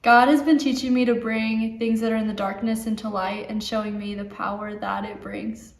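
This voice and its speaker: A woman's voice: on the high side, level tone, moderate pace. A young woman from Indiana, a youth ministry student, answering sincerely and earnestly. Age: young adult. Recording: echoey room.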